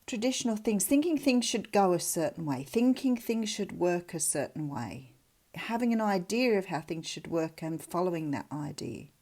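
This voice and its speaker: A woman's voice: on the low side, warm tone. An Australian woman giving a casual tarot reading in a chatty, reflective, conversational way. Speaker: tarot reader, channel host.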